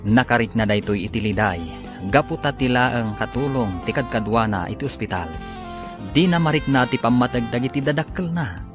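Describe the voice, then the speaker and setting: A man with a medium-pitched voice narrates a radio drama. His scripted delivery is deliberate and dramatic, like a serial announcer, with music clearly playing behind him. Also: expressive.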